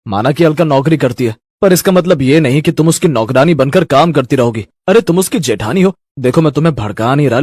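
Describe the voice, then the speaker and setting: A man's voice: fairly high pitch, darkish tone, breathy edge, no room sound, clean studio sound. A man voicing a concerned, protective husband in a cartoon story, earnest and admonishing.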